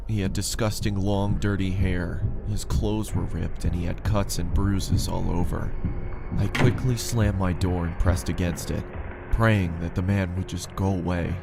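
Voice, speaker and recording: A man's voice: low-pitched, vocal fry, noisy background. A man reading a scripted first-person horror story as its narrator.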